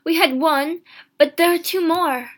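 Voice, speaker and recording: A woman's voice: very high voice, a little slow, voice pushed up. A woman reading a storybook, voicing a grieving old mother who is insistent and urgent. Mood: frantic, excited. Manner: emphatic, urgent exclamations.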